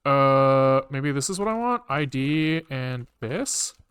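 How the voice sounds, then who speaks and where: A man's voice: mid-range voice, expressive, takes it slowly, a bit bright, smooth, no echo. A man thinking aloud as he programs on a livestream, muttering code in fragments and swearing casually, close to a desk microphone.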